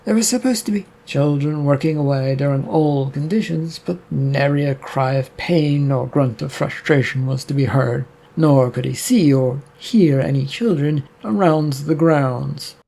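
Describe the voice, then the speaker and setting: Gravelly husky man reading a story. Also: on the high side, a little slow, hardly any pauses. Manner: steady storytelling with small restarts. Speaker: narrator. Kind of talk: reading a serial story aloud.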